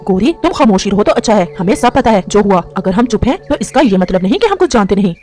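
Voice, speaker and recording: A woman's voice: medium pitch, very animated, quick pace, warm tone, faint music behind, pitched below the performer's own voice, natural voice. A woman voicing a kind, protective male pigeon in a melodramatic cartoon story, speaking earnestly. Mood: reproachful. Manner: consoling, earnest.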